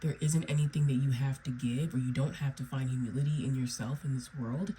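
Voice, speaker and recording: Low, mellow-voiced woman reading tarot. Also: fairly even intonation, fairly quick, slight background noise. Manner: meandering, repeats "okay?" and "right?". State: under the weather, raspy. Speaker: tarot reader. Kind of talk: solo tarot reading to viewers.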